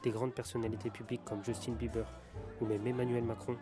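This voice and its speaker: Audio drama casting: insistent, earnest male conspiracy theorist played by a man, very quick delivery, mid-pitched, speaking in a bar. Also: level tone, lightly acted. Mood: grave, convinced. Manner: earnest, urgent explaining. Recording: music and bar chatter behind.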